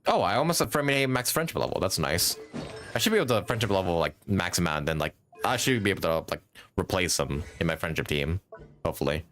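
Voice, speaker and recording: A man's voice: low-pitched, animated, fast, fairly bright tone, a little hiss or hum. A man livestreaming a game with casual commentary, musing and thinking aloud. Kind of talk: casual live gaming commentary. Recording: game music behind.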